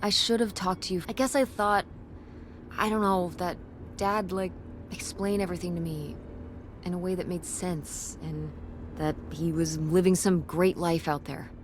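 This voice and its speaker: An actress playing an earnest teenage daughter who designs websites, speaking in a casual, sincere, slangy way in naturalistic game dialogue. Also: female voice, fairly low pitch, steady tone, normal speed, background noise, close to an everyday voice. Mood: regretful.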